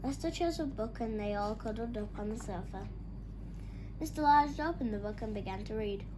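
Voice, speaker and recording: Female picture-book reader for children, higher-pitched voice, clear background noise on a home recording. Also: expressive, normal speed. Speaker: storyteller.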